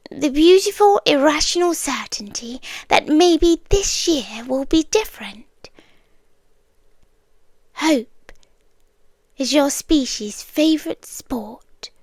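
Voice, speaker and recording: A British woman playing an otherworldly, possessive stalker girlfriend in an ASMR roleplay, soft and breathy, often whispering, intimate and eerily tender. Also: sits high, no echo, full character voice. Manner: slow, deliberate, intimate, teasing.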